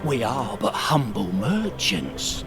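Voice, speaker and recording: An actor playing a sly, fawning antiques merchant in a medieval video game, theatrical and obsequious. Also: male voice, mid-range voice, very animated, slow pace, over music. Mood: fawning, eager.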